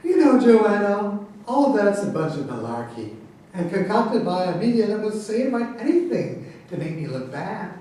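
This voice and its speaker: Heard from far off in an echoing room, a man acts out a bombastic president in a satirical stage play. The voice is high and swings widely in pitch. He is pompous, boastful and quick to taunt his rivals. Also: sing-song, mellow. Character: bombastic, boastful, insult-slinging incumbent president in debate. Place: live staged reading in an echoey room. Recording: echoey room, distant mic, faint noise.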